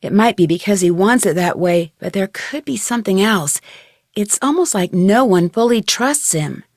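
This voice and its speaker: A woman's voice: medium pitch, expressive, normal speed, no echo, clean studio sound. A woman playing an inquisitive, polite young female amateur detective in a video game, asking questions in a curious way. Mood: suspicious, earnest. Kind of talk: video game dialogue acting.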